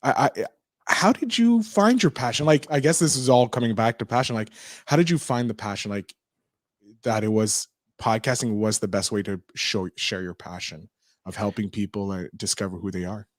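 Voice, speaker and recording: A man's voice: lowish voice, normal speed, no echo, studio quality. A man hosting a podcast interview, talking casually and rambling with lots of fillers. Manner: animated, rambling, frequent "like" fillers. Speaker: podcast host.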